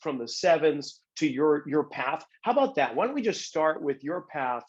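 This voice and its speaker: A man's voice: a little high, expressive, normal speed, highs cut off. A man hosting a podcast, friendly and self-deprecating, often stumbling over and repeating words. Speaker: podcast host. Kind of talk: casual podcast interview.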